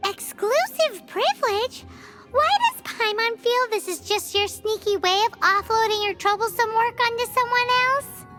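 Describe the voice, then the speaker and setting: A woman voicing a tiny, excitable female fairy companion in a video game who talks about herself in the third person and is quick to grow suspicious. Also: very high voice. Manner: suspicious, whiny protests. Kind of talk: game voice acting. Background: game music.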